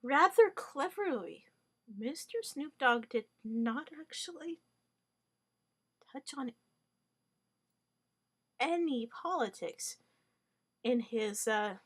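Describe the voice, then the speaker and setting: A woman's voice: high-pitched, lively intonation, slow pace, long pauses, a bit bright, breathy edge. A woman whose first language is Hungarian, giving a wry, rambling monologue full of fillers, restarts and mock-formal wording. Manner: hesitant, many fillers and restarts.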